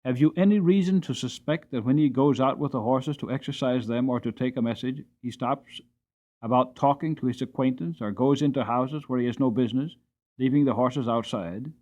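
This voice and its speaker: A man voicing a grave but kindly Victorian master of the house in an audiobook, measured, formal and genial. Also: mid-range voice, a little mellow, dull audio, natural voice. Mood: grave, questioning. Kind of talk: dramatic audiobook character reading.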